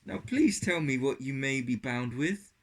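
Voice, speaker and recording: Man plays scheming temptress for a puppet Bible tale, voice pitched up, pleading and exclaiming. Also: lively intonation, a little slow. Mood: wounded, nagging. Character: coaxing, scheming woman Delilah of Bible puppet story.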